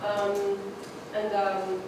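A woman's voice: medium pitch, completely flat delivery, drawn-out words, noisy background. Hesitant woman in an echoey hall. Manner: hesitant, many fillers and restarts. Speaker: workshop participant. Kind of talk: group discussion report. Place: large conference room. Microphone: far room mic. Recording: echoey hall, far from the mic.

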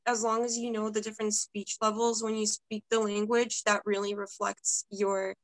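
A woman's voice: mid-range voice, flat delivery, normal speed, no room sound, rough recording. A young woman from Russia, a guest talking casually over a video call from home, with many filler words. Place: home room, indoors.

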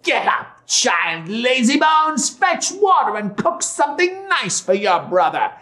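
A man voicing a wicked old witch in a storybook tale, shrill and exaggerated, cruel and scheming. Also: sing-song, takes it slowly, bright, forceful tone, exaggerated voice. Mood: bossy, menacing. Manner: exaggerated, spiteful, scolding. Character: wicked old witch woman, feigning sweetness, cruel and cackling.

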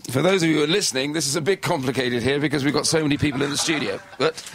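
A man hosting a radio show, greeting a packed studio with brisk, repetitive questions and chuckling asides. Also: on the high side, fairly bright tone. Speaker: radio host. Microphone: studio microphone with headphones.

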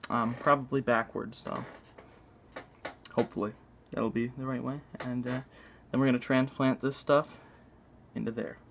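A man narrating a casual hands-on tutorial in a halting, unscripted way, thinking aloud as he works. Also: medium pitch, steady tone, gravelly, a little hiss or hum. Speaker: video maker demonstrating a repair. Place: indoors at a tabletop.

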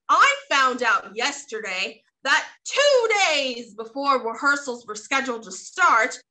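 A woman giving a scripted comic announcement in a bright, expressive way. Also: high-pitched, rough recording.

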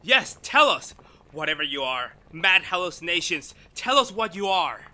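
A man playing an excitable, skeptical scientist in a retro radio drama, melodramatic and halting, increasingly astounded. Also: high-pitched, exaggerated voice, rough recording. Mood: urgent demand.

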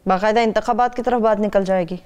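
A woman's voice: medium pitch, fairly even intonation, quick pace, a little mellow, smooth. A woman in her thirties with a Pakistani accent, interviewing as the anchor of a political talk show. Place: indoor TV news studio.

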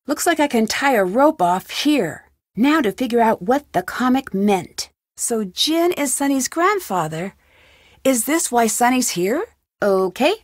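A woman's voice: on the high side, dry recording, clean studio sound. An actress playing a curious, upbeat young woman detective in an adventure game, thinking aloud in short scripted remarks.

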